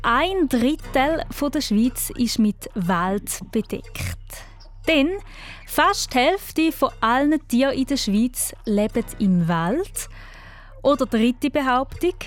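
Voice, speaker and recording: A woman's voice: high voice, lively intonation, slow pace, faint music behind. A woman with a Swiss German accent, chatting encouragingly as the host of a children's radio quiz.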